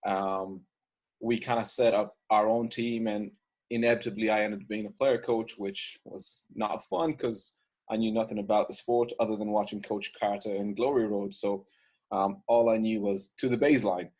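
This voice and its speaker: A young man, a basketball coach, telling his story as a guest in a casual video-call interview, measured with filler words. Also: on the low side, fairly even intonation, darkish tone. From Ireland.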